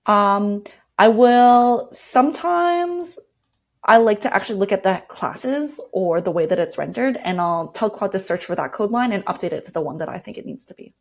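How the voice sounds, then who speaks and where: A woman's voice: mid-range voice, expressive, normal speed. A woman giving a casual screen-share walkthrough as a guest designer, informal and thinking aloud as she goes. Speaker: guest product designer demonstrating a workflow.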